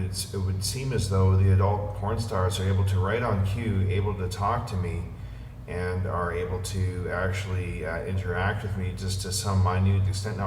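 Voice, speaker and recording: Male amateur vlogger, middle-aged, deep-pitched, hesitant and earnest, recorded off-mic with some background noise. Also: darkish tone, roomy sound, long reverb tail, far from the mic. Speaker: amateur video blogger. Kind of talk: rambling monologue to viewers.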